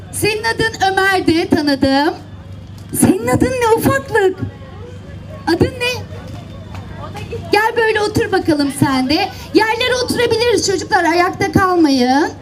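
A woman's voice: extremely high pitch, expressive, measured pace, low-quality recording. A woman telling fairy tales live to children, addressing them directly and playfully at an outdoor event. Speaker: children's storyteller. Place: outdoors, seaside public event. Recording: outdoor event with crowd and background noise.

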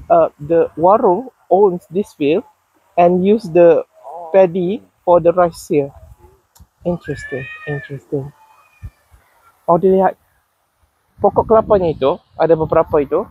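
Husky, high-voiced Malaysian man vlogging in paddy fields; curious, keeps asking questions. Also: warm tone, low-quality recording. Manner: curious, questioning, repeats answers back. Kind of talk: casual walking vlog chat. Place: outdoors in rice paddy fields. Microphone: body-worn action camera.

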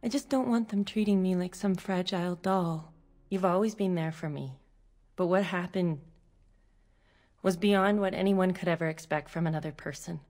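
An actress playing a warm, resilient young elf woman in a video game, heartfelt and sincere. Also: on the low side, moderate pace, close to an everyday voice. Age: young adult.

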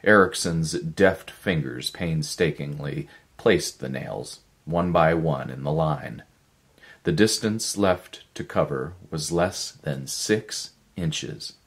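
A man in his fifties narrates a dramatic story. His voice is extremely low, and he takes his time, reading slowly and deliberately. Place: small living room with a stove.

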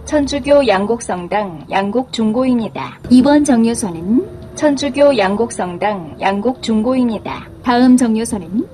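A woman's voice: fairly high pitch, lively intonation, moderate pace, long pauses, dark tone, slight background noise. A woman reading scripted, pre-recorded bus stop announcements.

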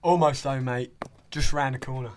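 An actor playing a slangy, defiant British newspaper reporter in wartime London, in a radio drama. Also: male voice, medium pitch, fairly even intonation, normal speed, lightly acted. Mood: chummy, casual. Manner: chummy, slangy. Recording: music behind the voice.

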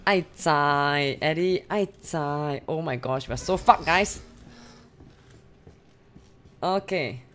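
A woman's voice: deep voice, slow, long pauses, fairly bright tone, slight background noise. A young woman with a Singaporean accent, livestreaming a horror game and talking to her chat, swearing and shrieking at scares. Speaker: streamer. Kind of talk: live gameplay commentary. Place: small bedroom. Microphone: studio mic on arm with headphones.

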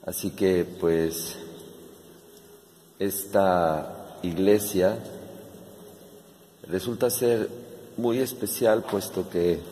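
A middle-aged man slowly and haltingly describing a church while filming inside it. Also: low voice, steady tone, mellow, long reverb tail, a little hiss or hum, rough recording. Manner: slow, halting, explanatory. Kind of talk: travel video narration.

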